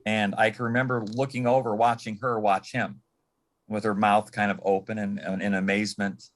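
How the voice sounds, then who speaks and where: An American man from Michigan reminiscing as a panel guest in a halting, reflective way, often repeating words. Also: fairly low pitch, level tone. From Michigan, United States. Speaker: panel guest, former assistant to an entertainer.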